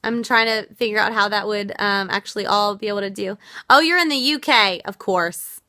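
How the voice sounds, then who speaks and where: A woman's voice: mid-range voice, moderate pace, pushed and bright, no room sound, studio quality. A woman from the United States hosting a casual live stream, earnest and reflective as she answers viewer comments, her voice worn out. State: losing her voice. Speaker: livestream host.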